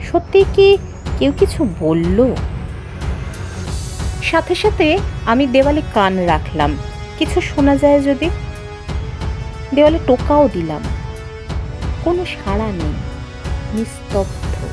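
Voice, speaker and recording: A woman narrating a horror story in the first person, theatrical and suspenseful, with drawn-out pauses. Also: high voice, very animated. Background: background music.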